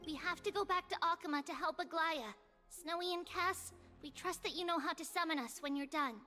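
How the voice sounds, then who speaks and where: High-pitched little priestess girl. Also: fairly quick, sharp tone, faint music behind. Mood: caring, instructive. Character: small childlike priestess guide, earnest and caring. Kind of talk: scripted video game acting.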